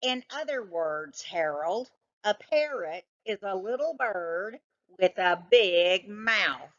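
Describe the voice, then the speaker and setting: A woman's voice: medium pitch, lively intonation, slow pace, a bit bright, muffled recording. A woman voicing a scornful, know-it-all male cat with a snappish, pedantic manner in a children's storybook. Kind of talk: children's storybook read-aloud.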